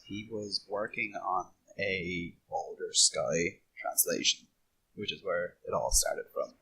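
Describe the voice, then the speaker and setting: A man's voice: low voice, slow pace, pushed and bright, slight background noise, rough recording. A man talking casually as a panelist in a podcast discussion.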